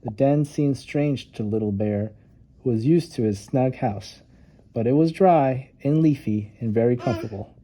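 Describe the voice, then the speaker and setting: A man narrating a children's storybook with expressive, dramatic emphasis on key words. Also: mid-range voice, moderate pace. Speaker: storytime reader.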